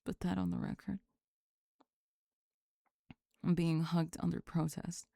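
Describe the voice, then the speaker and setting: Girl doing a grumpy flustered goth bully for asmr, lowish soft-edged voice, really dry close sound, secretly smitten. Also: little pitch movement, gravelly, dry recording, exaggerated voice. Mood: grumpy, tender. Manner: halting, sighing, grudgingly tender and defensive. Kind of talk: ASMR roleplay drama, spoken to the listener.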